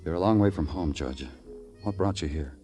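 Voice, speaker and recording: An actor playing a world-weary police detective, narrating in a brooding, hard-boiled 1950s radio noir style. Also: male voice, low voice, brisk, lightly acted. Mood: quiet, probing. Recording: old radio recording with loud music.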